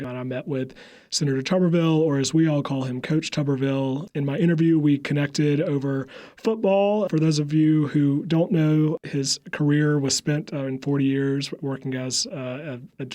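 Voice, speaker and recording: A young man with a Southern accent answers interview questions in an earnest, eager way. His pitch sits in the middle range. He now and then stumbles over fillers. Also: darkish tone. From upstate South Carolina. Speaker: guest, think tank media staffer. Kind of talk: conversational interview.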